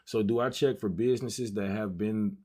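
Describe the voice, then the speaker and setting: A man's voice: on the low side, steady tone, normal speed, warm tone, husky. Man in his thirties, livestream host, casual slangy chat, rambling asides. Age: 30s. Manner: loose slangy banter, easily sidetracked. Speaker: trading stream host.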